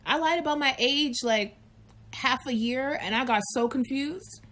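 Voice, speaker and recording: A woman in her late thirties chatting frankly and animatedly on a live chat show, telling stories and acting out quick voices. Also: high voice, fairly bright tone. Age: 30s. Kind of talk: candid casual chat.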